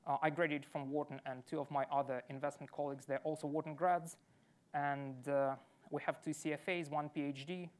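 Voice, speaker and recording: Brief: woman founder, low voice, measured explanation of a finance pitch. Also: fairly even intonation. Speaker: startup founder, investment manager. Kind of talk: pitch answering questions.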